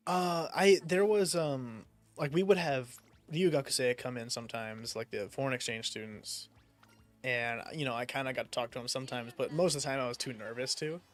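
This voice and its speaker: Young man, casual stream talk, rambling, lots of fillers, mid pitch, faint background music. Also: moderate pace. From United States. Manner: rambling, many fillers and restarts, swears casually. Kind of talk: casual stream chat while gaming.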